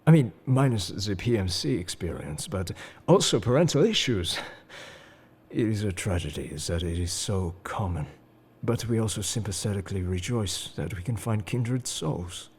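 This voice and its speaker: A man playing a suave, enigmatic engineer-agent in an intimate roleplay audio, breathy and halting as he confides old wounds with tender devotion. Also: fairly low pitch, animated, a little mellow. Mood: wistful, confiding. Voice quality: breathy, husky, slipping into whispers. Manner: measured, confessional, halting. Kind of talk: intimate scripted roleplay audio addressed to the listener.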